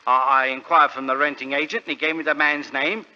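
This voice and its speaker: Plodding English shopkeeper, very bright voice. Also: male voice, a little high, fairly even intonation. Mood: earnest, deferential. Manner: halting, deferential, wordy storytelling. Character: earnest, plodding, thrifty London shopkeeper. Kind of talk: 1940s radio drama acting. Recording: dull, reverberant vintage radio recording.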